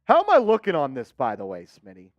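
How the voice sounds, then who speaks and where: A man hosting a live sports watch-party stream, chatting with viewers, reading the chat and calling plays excitedly. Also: fairly high pitch, fast, highs cut off.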